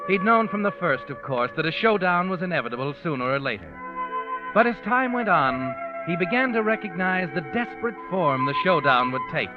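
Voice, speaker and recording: Casting: ominous, taunting radio suspense narrator, higher-set American voice, music underneath, muffled vintage sound. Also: male voice, big pitch swings. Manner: ominous, deliberate, insinuating. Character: sinister, knowing male narrator taunting the guilty. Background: dramatic music.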